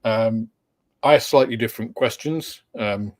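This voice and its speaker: A man hosts a relaxed live video chat, his voice sitting at an ordinary middle pitch. He wanders through his points hesitantly, with plenty of filler sounds, and keeps the mood welcoming with light chuckles. He is speaking into a home computer mic. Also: measured pace. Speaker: host of a model-building livestream.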